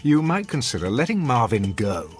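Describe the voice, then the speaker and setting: A man's voice: mid-range voice, sing-song, normal speed, soft background music, lightly acted. A man playing a domineering, sarcastic wealthy husband in a radio drama, speaking with haughty, formal diction. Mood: clipped, insinuating. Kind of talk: classic radio drama acting.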